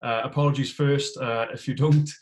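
A man's voice: medium pitch, steady tone, normal speed, darkish tone. South Welsh man giving a webinar talk. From South Wales. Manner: loose and conversational, many fillers and restarts. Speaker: head of physical performance, guest speaker. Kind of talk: webinar presentation. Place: small room at home, indoors. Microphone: video call on a laptop.

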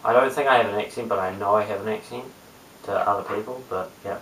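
A young man in his late teens with a New Zealand accent, chatting casually to camera in a low-key, hesitant mumble. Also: fairly even intonation, quick pace, fairly bright tone. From Christchurch, New Zealand. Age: young adult, late teens. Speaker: amateur video blogger. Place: small bare room indoors. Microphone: webcam at a short distance. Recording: roomy, distant webcam mic with hiss.

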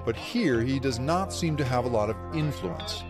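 A middle-aged man reporting a news feature in measured scripted narration. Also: mid-range voice, expressive, over loud music. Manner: measured, then chatty and laughing in studio. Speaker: news correspondent. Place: TV news studio for the chat.

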